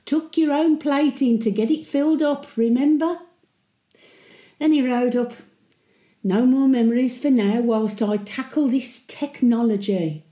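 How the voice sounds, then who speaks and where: A woman's voice: high-pitched, a little slow, warm tone. Echoey, feisty old Brummie widow played by a woman. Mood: feisty, nostalgic. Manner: chatty, wry, colloquial storytelling. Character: feisty, sentimental centenarian widow, former Birmingham market trader. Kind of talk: dramatic monologue addressed to her late husband.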